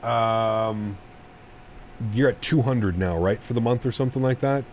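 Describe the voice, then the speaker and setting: A man with a deep voice streaming a flight sim, talking straight to his chat and explaining himself at length with repeated restarts. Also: measured pace, darkish tone, slight background noise. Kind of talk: casual live-stream chat.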